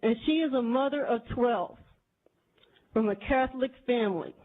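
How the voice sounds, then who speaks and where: A woman in her forties with a Houston accent speaks in a high, insistent voice. She testifies at a committee hearing with indignant, repetitive emphasis. The sound is narrow and tinny, as though it came over a phone line. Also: normal speed. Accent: Texan. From Houston, Texas. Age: 40s. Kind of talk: public testimony. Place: legislative committee hearing room. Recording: tinny, phone-like archive audio.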